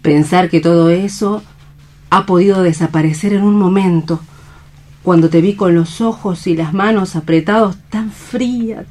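A slightly low voice of a devoted young woman in radio theatre. She speaks earnestly and tenderly, with lyrical feeling in her phrasing. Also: measured pace, mellow. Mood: anguished. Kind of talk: radio theatre dramatic reading.

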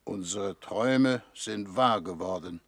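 An actor playing an urbane, world-weary foreign minister in a radio drama, wry and polite. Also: male voice, fairly low pitch, slow pace, creaky, fairly bright tone, no room sound, full character voice. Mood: wry, reflective. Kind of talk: German radio drama acting.

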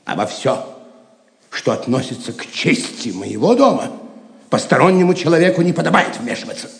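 A man's voice: medium pitch, big pitch swings, moderate pace, gravelly. An actor playing a proud, emotional Saxon lord and father in an audio drama, declaiming with theatrical passion. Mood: proud, stiff. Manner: declamatory, impassioned. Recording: echoey hall reverb.

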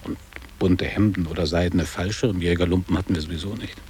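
An actor playing a guarded, wistful middle-aged man looking back on boarding school in a measured, literary radio play delivery. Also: low-pitched, fairly even intonation, darkish tone, slight background noise, natural voice. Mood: wistful, frank. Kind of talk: German radio play acting.

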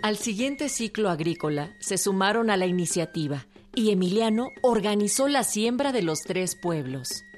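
A woman's voice: medium pitch, lively intonation, normal speed, hardly any pauses, soft background music. A woman delivering scripted narration for a historical radio drama.

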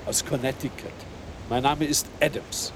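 An actor playing a chatty, forward, plump American hotel guest in a radio play. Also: male voice, mid-range voice, steady tone, moderate pace, noisy background, natural voice. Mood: friendly, chatty.

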